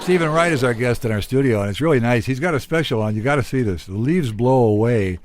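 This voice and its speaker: A man's voice: mid-range voice, lively intonation, fast talker, noisy background. A man hosting a casual interview in a studio, chatty, informal and joking. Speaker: radio interviewer, host. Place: radio studio.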